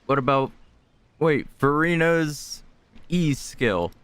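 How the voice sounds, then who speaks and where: A man's voice: on the high side, expressive, slow pace, fairly bright tone, smooth, no room sound. A man streaming a game, giving casual, short, laid-back reactions and reading game text aloud. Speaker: gaming streamer. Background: faint game music.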